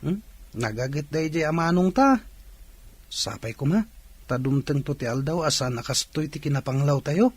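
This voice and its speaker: A woman's voice: deep voice, lively intonation, normal speed, darkish tone, breathy edge, no room sound. A woman playing a respectful, responsible teenage older brother in a radio drama. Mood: earnest. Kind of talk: Ilocano radio drama acting.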